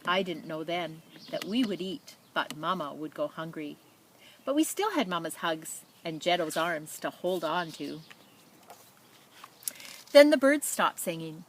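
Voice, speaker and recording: A woman's voice: deep voice, sing-song, measured pace. Woman reading a picture book, noisy background. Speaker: storybook reader. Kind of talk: read-aloud of a children's picture book with spoken asides.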